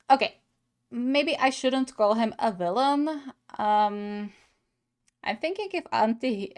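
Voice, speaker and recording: A woman hosting a gaming reaction video with casual, playful, musing commentary. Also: a little high. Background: music.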